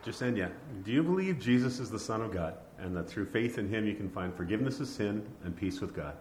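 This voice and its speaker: A man's voice: on the low side, lively intonation, a little fast, darkish tone. A male pastor formally asking baptism questions and pronouncing the baptism. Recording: echoey room with faint noise.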